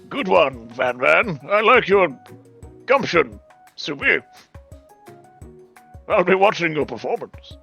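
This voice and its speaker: Casting: man as smug male student rival, highish creaky voice, forceful and theatrical, comic game. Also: very animated, takes it slowly, pauses often, soft background music, full character voice. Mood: smug, pompous.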